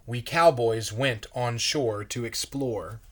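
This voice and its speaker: A man, a pastor, reading a children's story aloud in a measured, expressive way. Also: medium pitch, noisy background.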